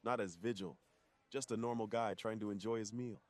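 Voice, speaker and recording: A gentle, secretive male superhero, acted by a man in an intimate ASMR roleplay. His voice is fairly low, and he talks in an earnest, confiding way, weary of his double life. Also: steady tone, fairly quick, lightly acted. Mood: warm, open.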